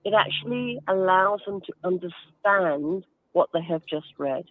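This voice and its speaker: A woman's voice: lowish voice, expressive, a little slow, phone-quality sound. A woman giving explanatory interview answers as a guest, instructive and emphatic, with everyday examples. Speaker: guest author and reading advocate.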